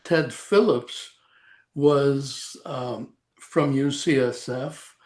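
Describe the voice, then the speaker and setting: A man in his eighties from El Salvador, a retired radiation oncologist recalling his career in a halting, rambling interview over a video call. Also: mid-range voice, darkish tone. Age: 80s. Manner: slow, rambling, halting with frequent "uh". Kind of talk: reminiscing interview.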